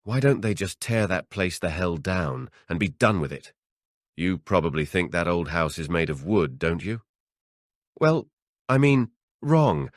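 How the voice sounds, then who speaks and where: A man performs a gruff old country patriarch from a horror audiobook. He is ornery and paranoid, telling his tales with cranky emphasis. The sound is dry and close. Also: deep voice, lively intonation, measured pace, dry recording. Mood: grim, emphatic. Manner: cranky, emphatic, swearing storyteller. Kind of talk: dramatic audiobook character voice.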